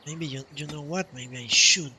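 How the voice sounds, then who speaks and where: A man's voice: fairly high pitch, moderate pace. A man giving casual live commentary as he streams a game, offhand and half talking to himself. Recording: clear game noise behind voice. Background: game ambience and sound effects.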